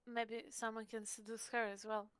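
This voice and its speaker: Bright, clear-voiced woman, casual roleplayer. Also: a little high, little pitch movement, normal speed, dry recording. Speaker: player in a tabletop roleplay game. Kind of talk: casual in-character chat.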